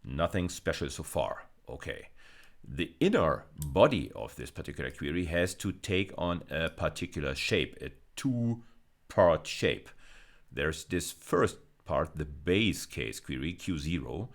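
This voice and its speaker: A male lecturer with a notably low voice. His intonation moves widely and animatedly as he explains things step by step. He often repeats a phrase to stress it, in the manner of a recorded university lecture. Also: sing-song, a little slow.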